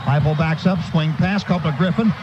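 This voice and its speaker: High-pitched man calling live football on radio. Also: moderate pace, highs cut off. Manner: clipped running descriptions in short phrases. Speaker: radio play-by-play announcer. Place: stadium broadcast booth. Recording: noisy live stadium radio broadcast.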